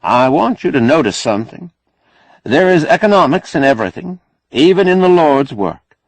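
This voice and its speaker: A male reader plays a boisterous political preacher from a storybook, folksy and glad-handing. The pitch rides high and swings widely, with loud, pushy emphasis. The sound is close and dry. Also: sing-song, normal speed, dry recording, rough recording. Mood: boastful, preachy. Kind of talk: audiobook character acting.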